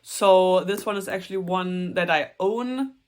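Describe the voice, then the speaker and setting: Young German woman, casual book vlogger, low-ish voice, earnest and chatty. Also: unhurried. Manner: chatty and earnest, with soft self-conscious laughs. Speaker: booktuber. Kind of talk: casual book talk to camera.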